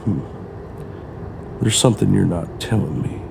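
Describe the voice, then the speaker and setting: An actor playing a wary, irritable crook with a gruff, grumbling manner in an audio drama. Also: male voice, sits low, unhurried, background noise, full character voice. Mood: wary, dismissive. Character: irritable, wary male crook, new to the crew.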